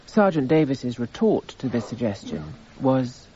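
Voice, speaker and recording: An actor playing a detached, methodical narrator reading out evidence in a radio drama, measured, precise and matter-of-fact. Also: male voice, medium pitch, very animated, crowd noise. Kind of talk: scripted narration. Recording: slightly dull radio recording.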